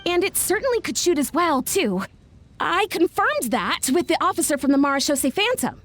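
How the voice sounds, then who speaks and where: A woman's voice: sits high, big pitch swings, normal speed, fairly bright tone. A woman voicing an earnest, modest young female event organizer in a game, easily flustered and effusive. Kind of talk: English game dub acting.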